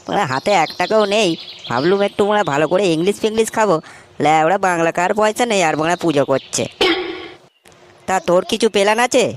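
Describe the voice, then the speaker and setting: A man's voice: high voice, expressive, brisk, slight background noise. A man voicing a broke, grumbling, booze-loving young slacker in a comic cartoon, dismissive and slangy, with a West Bengal Bengali accent.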